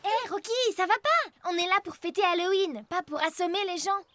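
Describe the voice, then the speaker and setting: A woman's voice: very high voice, lively intonation, fairly quick, very noisy. A woman playing an upbeat cartoon child who chats with enthusiasm, teasing and reassuring. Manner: enthusiastic, chatty. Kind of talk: animated children's cartoon dub. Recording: faint music behind.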